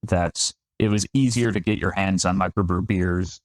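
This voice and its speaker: Man, thirties, from upstate New York, very deep voice, chatty, self-deprecating, dry close sound. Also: steady tone, normal speed, dry recording. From Finger Lakes region of New York. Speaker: winemaker guest. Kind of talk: conversational podcast interview.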